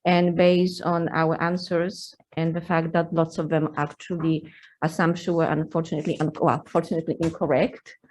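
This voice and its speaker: A woman's voice: deep voice, little pitch movement, normal speed, a little mellow, a little hiss or hum. Polish-accented woman, hesitant testimony. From Poland. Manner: hesitant, with frequent fillers. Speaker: associate dean. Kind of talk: hearing testimony.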